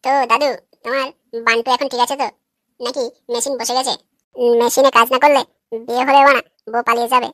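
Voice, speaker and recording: A woman's voice: a little high, level tone, fast, fairly bright tone, no room sound, close to an everyday voice. A woman playing a cheeky, sceptical young male heckler in a comic cartoon, taunting and crude. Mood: mocking. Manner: taunting, foul-mouthed.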